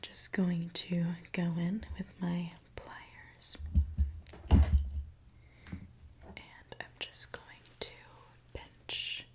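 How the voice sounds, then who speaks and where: Female ASMR performer as a gentle, attentive mechanic; whispered, very unhurried delivery. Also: fairly low pitch, level tone. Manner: soft, slow, reassuring, attentive. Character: gentle mechanic repairing a robot client. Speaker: ASMR creator.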